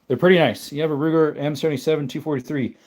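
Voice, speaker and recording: A man hosting a casual livestream, reading and answering viewer chat questions in a relaxed, rambling way and thanking viewers by name. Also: a little high, very fast. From not from the American South. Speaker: livestream host.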